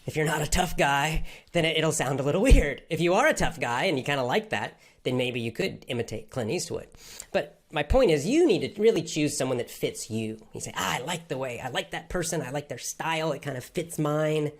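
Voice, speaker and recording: A man's voice: fairly high pitch, lively intonation, brisk. Upbeat man teaching English. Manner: enthusiastic, motivational. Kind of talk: live Q&A with viewers.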